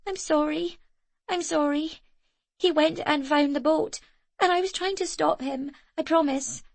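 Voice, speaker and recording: Lady doing a frightened little girl, high voice, begging, dry recording. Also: steady tone, unhurried, vocal fry, no echo. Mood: pleading. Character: frightened young girl, pleading.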